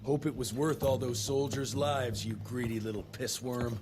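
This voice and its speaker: An actor playing a gruff, blunt cowboy vampire hunter in a video game, curt, tough and defiant. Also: male voice, medium pitch, fairly even intonation, normal speed, natural voice. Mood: stern, contemptuous. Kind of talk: dramatic video game cutscene acting. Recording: loud music behind.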